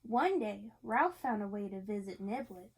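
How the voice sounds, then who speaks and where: A woman's voice: medium pitch, lively intonation, normal speed, a little mellow, slightly rough, poor audio. A woman reading a picture book aloud to a child in an interactive way, encouraging and asking questions. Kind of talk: interactive storybook read-aloud.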